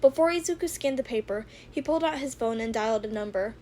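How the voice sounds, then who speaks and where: A woman with a fairly high voice reads fan fiction as an amateur narrator. Her delivery is informal and homemade. There is some noise on the recording. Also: lively intonation, quick pace, warm tone.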